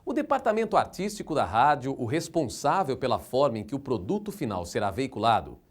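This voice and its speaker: Lively Brazilian male documentary narrator. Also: a little high.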